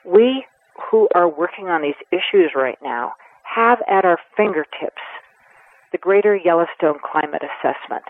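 A woman in her seventies giving a radio interview, talking earnestly and with emphasis, pausing to weigh her words. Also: on the low side, expressive, no echo. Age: 70s. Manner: earnest and emphatic, with measured pauses. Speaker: conservation advocate guest. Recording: tinny phone line, dry.

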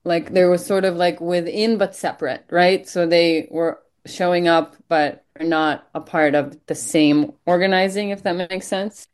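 A woman's voice: lowish voice, steady tone, a little slow, few pauses, clean tone. Earnest woman organizer, lots of "like". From Israel. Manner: earnest, hedging with frequent "like". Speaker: event organizer. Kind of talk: casual interview answers.

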